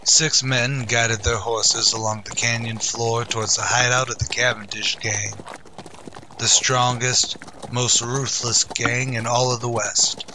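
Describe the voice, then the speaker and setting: A man's voice: mid-range voice, level tone, a little slow, sharp tone, low-quality recording. A man narrating an old-time radio western in a solemn, grandiose, dramatic style. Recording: faint background noise, ambience.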